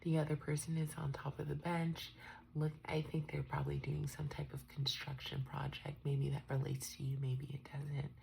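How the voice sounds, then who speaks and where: A woman with a stuffed-up cold, doing a tarot reading in a soft, often half-whispered, musing way. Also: low-pitched, little pitch movement, normal speed, vocal fry, a little hiss or hum, poor audio. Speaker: tarot reader. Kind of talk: unscripted tarot reading for viewers.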